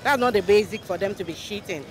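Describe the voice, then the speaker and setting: A man's voice: sits high, fast talker, a crowd in the background. A young Nigerian man in a street interview, stumbling and restarting his phrases. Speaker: passerby interviewee. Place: busy city roadside outdoors. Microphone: handheld mic held by interviewer. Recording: outdoor street with traffic noise.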